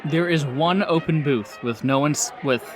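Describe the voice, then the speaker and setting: A man's voice: fairly high pitch, unhurried. A man running a tabletop role-playing game, improvising narration and explaining rules as game master. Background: music.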